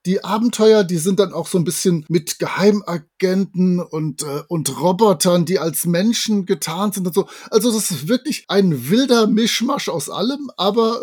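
A man's voice: sits high, lively intonation, normal speed, hardly any pauses, darkish tone, breathy edge, dry recording, clean studio sound. A man, chatting casually and enthusiastically as a podcast co-host. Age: 50s. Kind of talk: casual chat.

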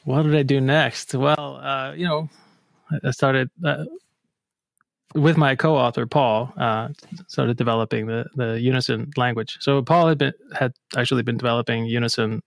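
An Iceland-born man speaks as a podcast guest, answering calmly and pausing often to find his words. His voice has a gravelly edge in a mid pitch. The sound is dry and close, with no room around it. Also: no room sound. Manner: relaxed, hesitant with fillers. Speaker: guest programmer. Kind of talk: casual interview answers. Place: home near Boston.

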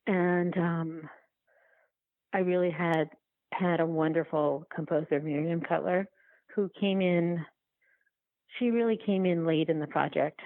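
A woman being interviewed about her work, speaking reflectively and haltingly as she searches for words. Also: on the low side, fairly even intonation, narrow, tinny audio. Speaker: documentary filmmaker, interview guest. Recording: roomy, band-limited remote-call sound.

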